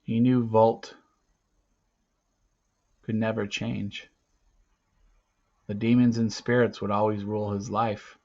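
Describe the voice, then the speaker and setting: A man narrating a storybook aloud theatrically, with dramatic pauses and swings between hushed and loud delivery. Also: lowish voice, level tone, dull audio.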